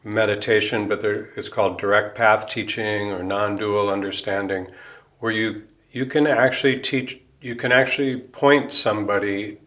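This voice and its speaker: A man's voice: fairly low pitch, steady tone, moderate pace, fairly bright tone. An American man answering interview questions in a reflective, informal way, explaining ideas as he thinks aloud and rephrases. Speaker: interview guest, musician and Buddhist priest.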